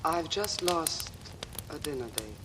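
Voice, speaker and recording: Cool, wry noir dame, crackly recording. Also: female voice, deep voice, animated, unhurried. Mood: cool, flirtatious. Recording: noisy, crackly vintage radio recording.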